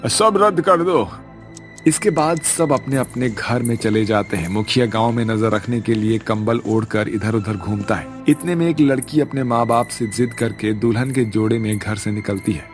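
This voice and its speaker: A man's voice: fairly low pitch, moderate pace, few pauses, a little mellow, faint music behind. A man narrating a scripted spooky village story as its storyteller.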